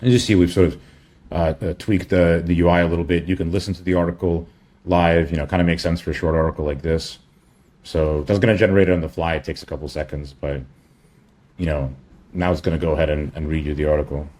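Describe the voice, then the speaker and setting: A man giving a casual screen-share product update as a company chief, in a halting, rambling way with offhand asides. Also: sits low, steady tone, darkish tone.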